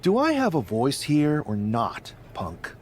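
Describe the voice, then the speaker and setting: Challenging, ambitious game wrestler, mid-range voice with very lively delivery, a little background noise. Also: male voice, mid-range voice, a little slow. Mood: challenging, insistent. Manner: challenging, insistent. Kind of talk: dramatic video game cutscene dialogue.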